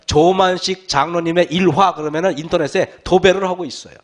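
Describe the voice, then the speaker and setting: A man preaching to his congregation with a voice that sits noticeably high for a man. His throat is hoarse, and he clears it often. He speaks earnestly, repeatedly turning to his listeners with rhetorical questions. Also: steady tone, brisk. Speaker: pastor. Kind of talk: sermon to a congregation.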